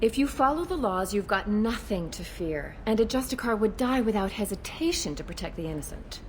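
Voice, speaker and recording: A woman's voice: mid-range voice, lively intonation, brisk, slight background noise, lightly acted. A woman playing a wary alien police officer in a video game, explaining matters frankly with slight exasperation. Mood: frank, cautious.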